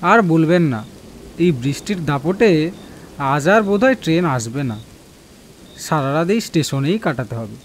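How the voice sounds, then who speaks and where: A man's voice: a little high, expressive, a little slow, pauses often, slight background noise. A man playing a polite, slightly nervous office worker stranded at night in an audio horror drama. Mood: uneasy, polite.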